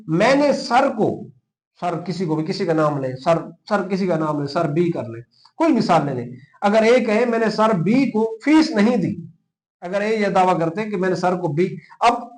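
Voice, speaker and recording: A man's voice: high voice, darkish tone. A man with a Pakistani accent lecturing as a teacher, didactic, repeating key phrases and answering his own questions. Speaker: teacher, exam coach. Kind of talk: explanatory law lecture to students.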